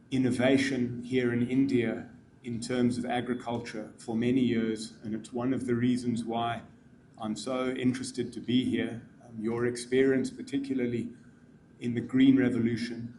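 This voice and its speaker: A middle-aged man with a South African accent giving measured welcome remarks at a symposium, with frequent ums. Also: mid-range voice, mellow, a little hiss or hum. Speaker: conference speaker, program manager.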